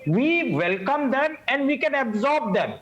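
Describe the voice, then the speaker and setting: A man argues as a panelist on a TV news debate. His voice is very high, and he is combative, cutting into others. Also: big pitch swings, measured pace, a bit bright, highs cut off. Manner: combative, interrupting. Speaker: political panelist.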